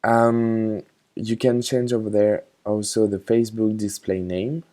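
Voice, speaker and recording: Male tutorial narrator, informal and hesitant, unhurried delivery, mellow tone. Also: lowish voice, little pitch movement. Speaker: software tutorial instructor. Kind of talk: casual screen tutorial narration.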